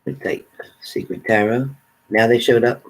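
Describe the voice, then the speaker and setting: A man's voice: fairly low pitch, moderate pace, low-quality recording. A man hosting a livestream, chatting casually and informally with viewers as he goes. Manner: informal, addresses viewers by name. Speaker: livestream host. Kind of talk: casual live chat with viewers.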